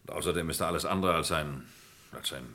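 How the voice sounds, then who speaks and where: A man's voice: rumbling low, fast, bright, forceful tone, full character voice. A man playing a loyal, flustered lawyer in a German crime radio play, hesitant yet emphatic. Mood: protesting.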